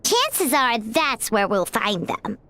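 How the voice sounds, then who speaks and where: A woman's voice: on the high side, huge pitch swings, slow, pushed and bright, a little hiss or hum, full character voice. A woman voicing a tiny floating female sprite companion who is chirpy and refers to herself in the third person. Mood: puzzled. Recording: game audio with music underneath.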